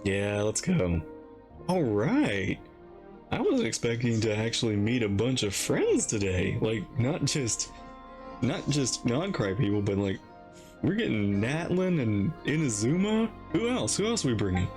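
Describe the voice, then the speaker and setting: A man reacts to a video game as the host. His delivery is excitable and full of exclamations, with pitch jumping around freely. Faint music plays underneath. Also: measured pace. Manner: excitable, exclamatory, repeats phrases.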